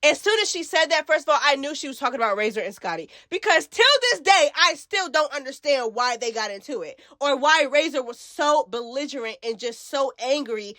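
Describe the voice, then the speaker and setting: A woman with a stuffy nose from a cold hosting a casual gossip recap, chatty and animated, full of slang. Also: sits high, a little fast, hardly any pauses. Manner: chatty, animated, slangy, calls listeners girl and baby. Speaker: host of a reality TV gossip channel.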